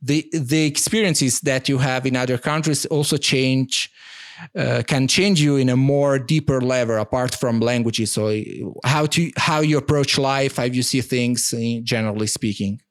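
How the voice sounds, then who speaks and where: A man's voice: medium pitch, steady tone, normal speed. A man in his thirties with an Italian accent, a native Italian speaker answering interview questions in a hesitant, earnest way with many restarts. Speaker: podcast guest.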